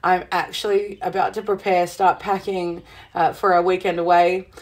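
A middle-aged woman with a slightly husky voice, chatting casually to viewers on her livestream from a cottage room, bubbly and full of fillers. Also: on the low side, little pitch movement, normal speed. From Southern Hemisphere. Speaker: witchcraft livestream host. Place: witchy cottage room indoors.

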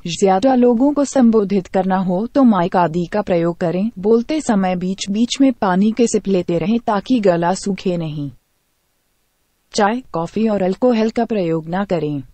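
A woman narrates a scripted health-tips voice-over in an even, reading tone. Her voice is dark and mellow, recorded dry and close with no room sound. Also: mid-range voice, measured pace, no echo.

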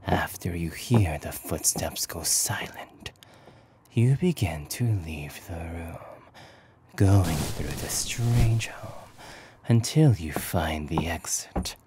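A man narrating a scripted ASMR story in a breathy whisper, with deliberate delivery. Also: sits low, expressive, background noise. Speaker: voice actor narrator.

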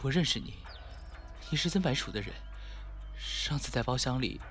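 一名男演员在广播剧中饰演温和却倔强忠诚、不安迟疑的被绑年轻人。. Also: male voice, mid-range voice, animated, moderate pace, a bit bright, lightly acted. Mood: uneasy. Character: captive young man, mild but stubbornly loyal. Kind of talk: Chinese radio drama acting. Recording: music behind the voice.

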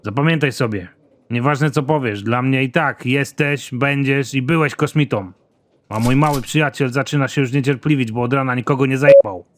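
A man playing a gruff, trigger-happy, hard-drinking space soldier in an animated comedy, with a crude, deadpan, grudging delivery. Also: mid-range voice, normal speed, natural voice. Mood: menacing.

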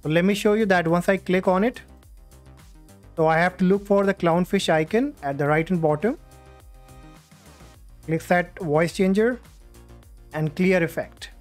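A man hosting a step-by-step software tutorial, speaking into a USB condenser microphone. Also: high-pitched, measured pace, long pauses. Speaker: tutorial host. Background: music.

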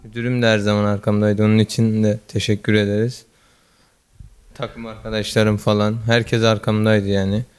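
Young man, flat smooth modest voice. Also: on the low side, normal speed. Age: teens. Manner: brief, modest replies. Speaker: student athlete. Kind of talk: interview answers. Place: school office. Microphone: handheld mic held by interviewer.

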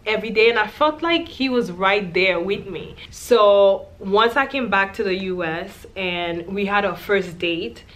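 A woman's voice: mid-range voice, unhurried, hardly any pauses, a bit bright. Young woman vlogger, casual storytime to camera in a small room, candid and chatty. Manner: chatty, candid, lots of "like" and "you know".

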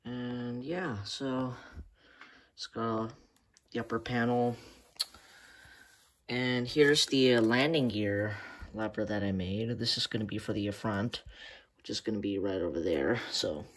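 Slow, hesitant man showing a hobby build. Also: lowish voice. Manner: hesitant, unhurried, soft-spoken. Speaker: hobby channel host. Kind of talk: casual show-and-tell vlog. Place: room at home, indoors.

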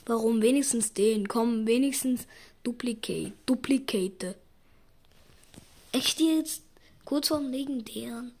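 A young woman with a hoarse, scratchy voice talking slowly over her gameplay. Her voice sits fairly high, and she drops into a husky near-whisper when quiet. She yelps loudly whenever a result goes her way or against her. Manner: excitable, shrieks and groans at spin results. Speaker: gaming YouTuber. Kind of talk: casual gaming commentary.

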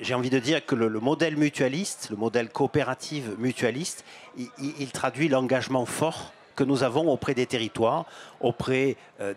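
A man in his fifties, a bank executive, giving measured explanatory answers in an interview at a fair stand, with some hesitations and repeated words. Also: medium pitch. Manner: gestures broadly while talking. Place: outdoor stand at an agricultural fair, on a sofa. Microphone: handheld microphone.

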